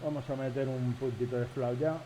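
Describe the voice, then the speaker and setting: A man's voice: mid-range voice, fairly even intonation, fairly quick, mellow, a little hiss or hum, low-quality recording. A man from Spain with a Spain Spanish accent gives casual, informal running commentary as a pilot flying, muttering to viewers as he works. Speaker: flight simulator pilot narrating.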